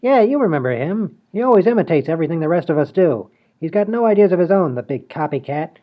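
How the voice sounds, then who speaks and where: A man's voice: on the high side, expressive, fast, lightly acted. A man voicing a brash, scheming small-time crook in a comedy radio play. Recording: dull lo-fi audio.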